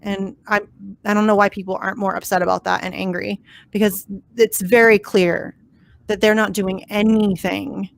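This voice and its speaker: A woman hosting a casual political talk stream, blunt and exasperated, her voice hoarse and cracking. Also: mid-range voice, little pitch movement, normal speed. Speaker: host.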